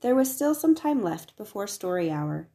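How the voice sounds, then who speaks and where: A woman reading a children's picture book aloud as scripted narration, with expressive delivery. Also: medium pitch, moderate pace. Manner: expressive, acts out the dialogue. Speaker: storybook reader.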